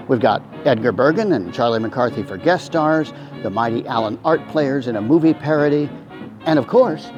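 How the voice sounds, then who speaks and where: Animated older man presenting, lively pitch. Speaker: host. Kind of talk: scripted, chatty presentation to camera.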